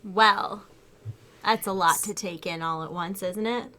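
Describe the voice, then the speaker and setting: A woman's voice: mid-range voice, fairly even intonation, a little slow, music in the background. A woman hosting a casual video game podcast, upbeat and chatty. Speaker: host.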